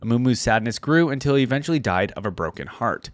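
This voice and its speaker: A man's voice: mid-range voice, expressive, fast talker, no echo. Casual man hosting, very dry close sound. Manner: informal, chatty, addresses viewers directly. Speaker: reaction video host. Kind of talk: casual reaction commentary with read-aloud story text.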